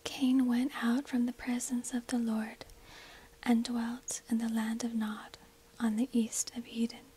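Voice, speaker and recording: Breathy whispering woman, slow ASMR storyteller. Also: fairly high pitch, level tone. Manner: slow, hushed, deliberate phrasing. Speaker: narrator. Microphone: close binaural microphone.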